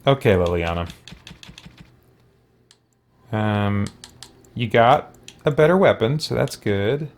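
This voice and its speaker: A man's voice: lowish voice, animated, slow, long pauses. A man giving casual gameplay commentary as a let's play host, thinking aloud and muttering to himself as he goes.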